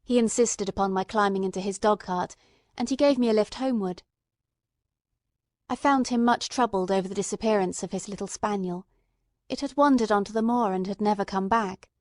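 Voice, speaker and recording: A woman reading literary narration for an audiobook in a measured, expressive storytelling manner. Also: mid-range voice, no echo, clean studio sound.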